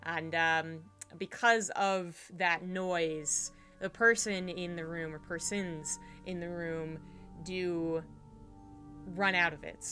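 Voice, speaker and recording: Woman game master, lowish voice, rambling narration, faint music behind. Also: steady tone, takes it slowly, pushed and bright. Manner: rambling, many fillers and restarts. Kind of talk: narrating a tabletop horror game.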